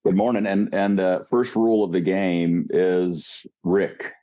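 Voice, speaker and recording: A man from Ohio with a low, mellow voice chats in a friendly, teasing way as a podcast guest. His voice comes over a dull, low-quality video call link. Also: fairly even intonation. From Ohio, United States. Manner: friendly, teasing, quick to joke. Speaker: guest, education consultant. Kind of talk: casual chat.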